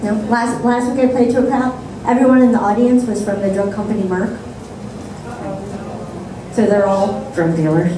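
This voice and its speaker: A woman doing a stand-up comedy set on a club stage, with deadpan, sarcastic asides. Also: mid-range voice, moderate pace, long pauses, background noise. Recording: echoey club sound from a distant mic.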